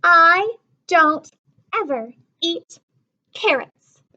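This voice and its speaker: A woman voicing a small, fussy, stubborn little girl in a storybook, with emphatic, drawn-out delivery. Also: very high-pitched, lively intonation, pushed and bright, highs cut off, voice pushed up, heavily acted. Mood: stubborn refusing. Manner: emphatic, declarative, drawn-out refusals.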